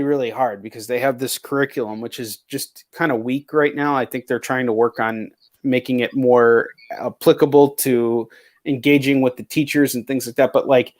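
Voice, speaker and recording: A man hosting a casual interview show, talking in a blunt, rambling way with plenty of swearing. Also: medium pitch. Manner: rambling, heavily profane, blunt. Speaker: show host. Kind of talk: casual video chat interview.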